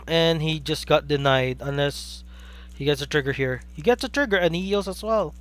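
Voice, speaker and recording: A young man with a Filipino accent calls a card game live, his voice pitched a little above the usual for a man. He gets excitable and keeps asking rhetorical questions, now and then greeting viewers in chat. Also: normal speed. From Philippines. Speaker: caster for a card game stream. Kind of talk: live play-by-play commentary.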